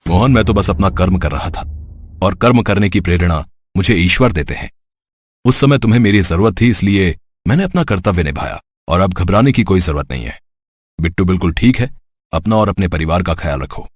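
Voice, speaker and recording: A man's voice: deep voice, animated, brisk, dry recording. A man playing a dutiful, reassuring doctor in a cartoon story. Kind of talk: Hindi cartoon story voice acting.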